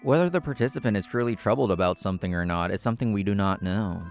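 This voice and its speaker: A man narrating a scripted story in the first person, in a measured, explanatory manner. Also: fairly low pitch, soft background music. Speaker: narrator and main character of a manga video.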